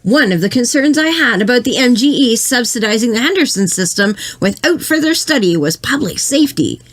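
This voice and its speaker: A woman's voice: fairly high pitch, animated, a little fast. A woman playing an earnest, self-satisfied radio news anchor, speaking formally in a scripted mock broadcast. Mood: earnest, vindicated.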